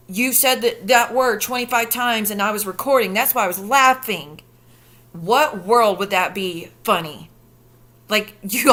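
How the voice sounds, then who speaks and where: A woman's voice: fairly high pitch, bright, forceful tone. A woman streaming reaction commentary, sarcastic, profane and incredulous.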